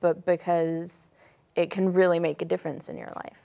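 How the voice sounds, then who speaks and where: Lady in her 30s chatting on a panel, lowish voice w lots of fry. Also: little pitch movement, normal speed, dark tone. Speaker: panelist on a religious study show. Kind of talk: conversational panel discussion. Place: TV studio at a panel desk. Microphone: lapel mic.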